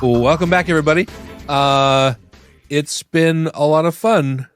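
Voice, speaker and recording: A man hosting a casual podcast, hoarse and stuffy from laughing, rambling with many restarts and giggles. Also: on the high side, unhurried, no room sound, soft background music. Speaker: podcast host.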